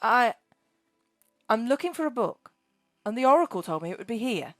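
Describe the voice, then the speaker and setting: A woman voicing a grumpy ancient female dragon who hoards books, talking in a shrill, snappish way. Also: big pitch swings, moderate pace, no echo, studio quality, soft background music. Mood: huffy.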